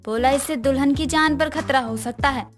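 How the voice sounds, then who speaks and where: A woman's voice: sits high, normal speed, close to an everyday voice. A woman playing a middle-aged, conciliatory mother of a bride in a story cartoon. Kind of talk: Hindi story cartoon dialogue. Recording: music under voice.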